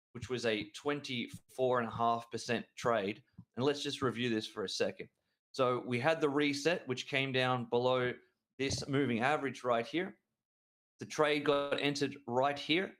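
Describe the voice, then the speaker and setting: A man co-hosting a trading show, talking excitedly and rambling through chart analysis with frequent restarts. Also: medium pitch. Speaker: crypto trading show co-host. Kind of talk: enthusiastic market analysis chat.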